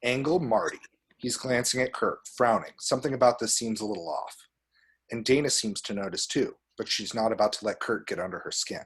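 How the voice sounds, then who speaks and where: A man reading screen directions aloud as expressive scripted narration. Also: on the low side, a little fast, breathy edge. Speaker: reader of action descriptions.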